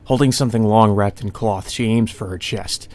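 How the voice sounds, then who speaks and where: A man's voice: fairly low pitch, normal speed, a little mellow. A man reading a visual novel aloud as a let's player, with casual commentary. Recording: music under the voice.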